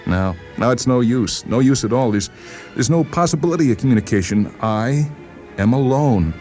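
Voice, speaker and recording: A man playing an agitated, paranoid patient in a dramatized story, insistent, halting and repeating himself. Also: medium pitch, lively intonation, darkish tone, faint music behind, close to an everyday voice. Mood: despairing. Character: agitated paranoid male patient convinced the world conspires against him. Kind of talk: dramatized short story reading.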